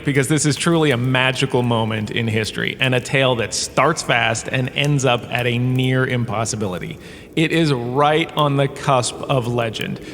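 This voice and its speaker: A man plays a washed-up holodrama star turned professor in a comic audio drama. He lectures theatrically, pleased with himself and breezily dismissive. His mid-pitched voice rings with long cave reverb over faint ambient noise. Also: a bit bright.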